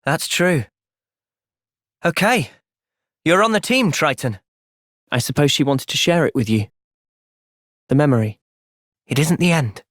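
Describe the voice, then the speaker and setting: A young man acting an earnest, thoughtful soldier hero in a video game cutscene, speaking in a measured, sincere way. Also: on the high side, expressive, fairly bright tone, no echo, studio quality. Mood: gentle, thoughtful.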